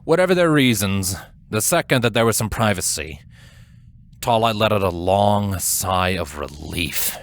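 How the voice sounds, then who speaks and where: Male fiction narrator: lowish, animated, suspenseful dramatic read. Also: a little slow.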